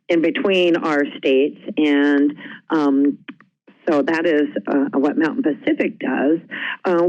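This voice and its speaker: A woman's voice: deep voice, darkish tone. Female webinar presenter, conversational delivery, somewhat muffled lo-fi connection. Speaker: webinar presenter, healthcare quality specialist. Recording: slightly dull, lo-fi audio.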